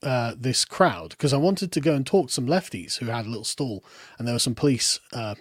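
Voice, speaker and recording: A British man with a lower middle class accent, a guest talking in a casual political discussion, rambling with restarts and trailing off quietly. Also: a little fast, slightly rough, dry recording, studio quality. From Britain.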